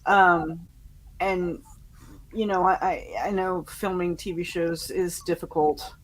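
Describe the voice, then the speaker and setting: A woman chatting casually as a podcast panelist, giving fan opinions in a halting, conversational way. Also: on the low side, steady tone, slight background noise, poor audio.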